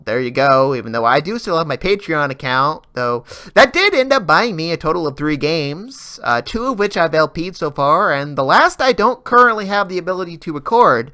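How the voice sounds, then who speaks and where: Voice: mid-range voice, sharp tone, smooth. A gamer giving a rambling, casual update in a self-deprecating way. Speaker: video game Let's Player.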